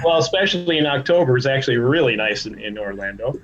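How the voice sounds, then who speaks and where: A man's voice: mid-range voice, expressive, quick pace, a bit bright. Man in a dull-sounding podcast interview. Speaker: podcast guest, trade association chief executive. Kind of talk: conversational interview talk.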